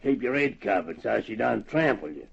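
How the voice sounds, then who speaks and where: A man's voice: medium pitch, very animated, moderate pace, vocal fry, slightly rough, exaggerated voice. An actor playing a blunt, teasing bush horseman with an Australian accent and folksy country speech in an old radio drama. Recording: muffled old radio transcription recording.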